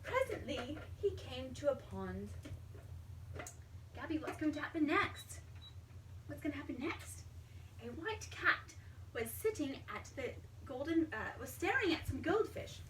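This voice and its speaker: A woman reading a children's storybook aloud in an expressive, dramatic way, pausing to put questions to a young child. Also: high voice. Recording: roomy, distant mic, faint background noise.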